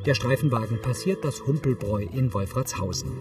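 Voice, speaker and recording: A man's voice: medium pitch, a little fast, far from the mic, loud music behind the voice. A man reading neutral, scripted audio description for a TV series.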